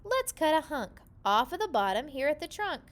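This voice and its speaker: A woman's voice: sits high, very animated, moderate pace, pitched above the performer's own voice. A woman voicing a cheerful, eager bear in a children's storybook, folksy and exclamatory.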